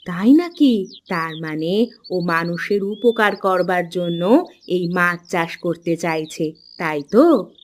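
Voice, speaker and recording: A woman's voice: fairly low pitch, animated, slow. A woman playing a caring, inquisitive middle-aged village mother in a cartoon folk tale. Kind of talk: Bengali animated folk-tale dialogue. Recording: clear background noise, chirping birds.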